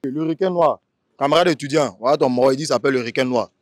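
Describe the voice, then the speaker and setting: A man's voice: medium pitch, fast talker, no echo, clean studio sound. A man interviewing people casually on camera.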